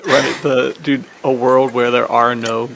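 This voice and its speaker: A man with a heavily gravelly, fry-laden voice chats casually as a podcast co-host. He is agreeable and slangy in his phrasing, and the recording carries clear background noise and clicks. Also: medium pitch, little pitch movement, unhurried. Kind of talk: casual film chat.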